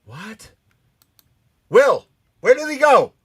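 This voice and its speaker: An excitable show host in casual panel banter, often stammering and repeating phrases. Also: mid-range voice, slow, breathy edge.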